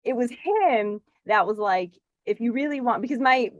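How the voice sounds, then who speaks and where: A woman in her forties from Connecticut, chatting as a podcast guest in a lively, talkative way with frequent laughs and filler words. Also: fairly high pitch, normal speed, no echo, highs cut off. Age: 40s. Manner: chatty, animated, laughs often, lots of "like". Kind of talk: casual interview chat.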